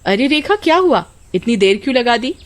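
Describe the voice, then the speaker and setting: A woman's voice: on the high side, big pitch swings, brisk. A woman playing a breezy, teasing young best friend in a story, with a sing-song, dismissive manner.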